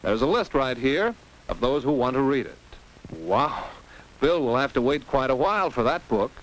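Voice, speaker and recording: Animated American man narrating a vintage classroom film. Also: mid-range voice, normal speed. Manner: didactic, posing rhetorical questions and exclaiming like a game commentator. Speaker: narrator of an educational film for teens. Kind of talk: 1950s instructional film narration, scripted. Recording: noisy old 1950s film soundtrack.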